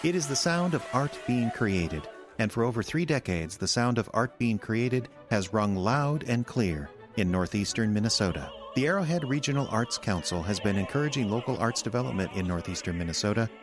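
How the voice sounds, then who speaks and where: Low-voiced male announcer over music. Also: animated, moderate pace, few pauses. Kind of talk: sponsor spot read. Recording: music bed behind.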